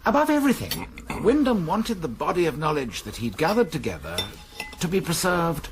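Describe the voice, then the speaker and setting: A man's voice: a little high, wildly swooping pitch, moderate pace, gravelly, background noise, heavily acted. A man playing a fussy, self-satisfied college librarian in a radio drama, with an English accent and an arch, precise, donnish delivery. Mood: earnest, donnish.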